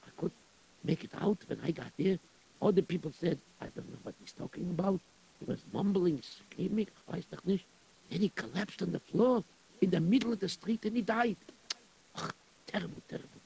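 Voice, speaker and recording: A man playing a gravelly synagogue caretaker. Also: sits high, animated, normal speed, a little mellow, full character voice, rough recording. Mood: gossiping. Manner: sighing, gossiping, fussing over tips. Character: gossipy pious male synagogue caretaker, middle-aged.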